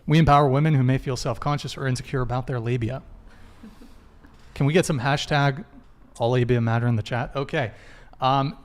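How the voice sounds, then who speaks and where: Young dude running a live podcast, jokey, kinda scattered. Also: male voice, mid-range voice, steady tone, a little fast. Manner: loose, joking, stumbles over tech mishaps. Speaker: podcast host. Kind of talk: live stream announcements, casual.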